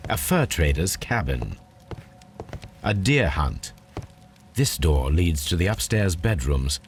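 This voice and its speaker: An actor voicing a calm, precise gentleman detective who speaks in a measured, deliberate way in a video game. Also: low-pitched, soft background music, full character voice.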